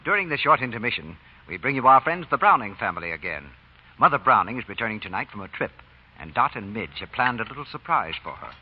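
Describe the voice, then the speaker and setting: A man announcing on 1940s radio, reading a scripted sponsor pitch with formal, emphatic enunciation. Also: very animated, fast, slightly rough. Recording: dull old radio recording.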